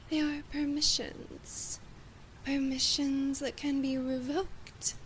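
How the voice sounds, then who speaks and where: Girl doing a demon queen asmr thing, really slow n high, bossy and looking down on you, bit of background noise. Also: a little mellow, poor audio. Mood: measured menace. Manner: imperious, measured, condescending, drifting into breathy whispers. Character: haughty, domineering female demon queen addressing her human knight. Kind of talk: ASMR roleplay, scripted monologue.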